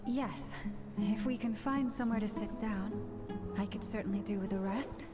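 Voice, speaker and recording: An actress plays a thoughtful young woman traveler from a video game. She speaks in a medium-pitched voice with a gentle, courteous, measured delivery. Loud music runs behind her. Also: natural voice.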